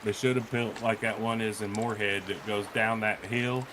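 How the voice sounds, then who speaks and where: A man's voice: lowish voice, fairly even intonation, moderate pace, a bit bright. A man chatting casually on a live stream, blunt and prone to swearing. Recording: clearly noisy background.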